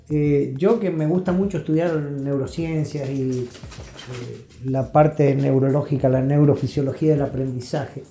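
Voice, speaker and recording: A man's voice: a little high, dark tone. An Argentine man speaking as an interview guest, telling and explaining things reflectively, with emphasis and short check-in questions. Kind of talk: reflective conversational storytelling and explanation. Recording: roomy echo, faint music.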